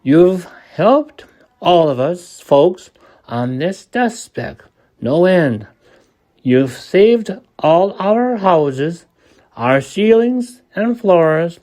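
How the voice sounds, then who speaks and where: A man's voice: sits high, very animated, drawn-out words, dark tone, exaggerated voice. A man voicing a tiny, grateful person from a dust speck, speaking earnestly in a storybook reading.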